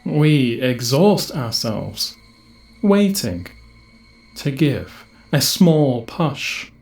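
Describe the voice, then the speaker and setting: Slow delivery, echoing, ancient otherworldly intelligence played by a performer, cryptic, grave, audio drama, music behind. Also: mid-range voice, very animated, darkish tone, full character voice. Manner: slow, cryptic, portentous delivery in short broken phrases. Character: ancient cosmic planetary collective intelligence, cryptic and solemn. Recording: echoing voice effect over music.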